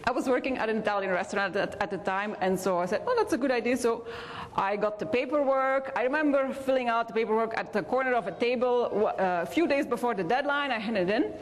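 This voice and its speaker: A woman's voice: on the high side, fast. A woman from Belgium with a Belgian accent, telling personal stories as a convention speaker, with small hesitations. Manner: warm anecdotal storytelling with hesitations. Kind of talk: motivational keynote storytelling. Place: large convention hall. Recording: reverberant convention hall.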